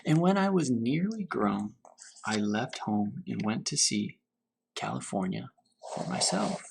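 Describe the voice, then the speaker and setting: Male read-aloud teacher for children's storybook, lively intonation with a gravelly, creaky edge, faint noise behind. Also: lowish voice. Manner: pauses to pose questions to young listeners. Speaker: teacher reading to fourth graders.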